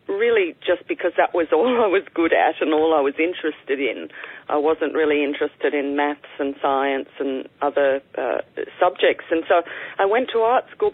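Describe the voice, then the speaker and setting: An Australian woman, an artist and interview guest, telling her story in a reflective, unhurried way with frequent ums. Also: sits low, dry recording. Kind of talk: conversational storytelling. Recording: tinny phone line.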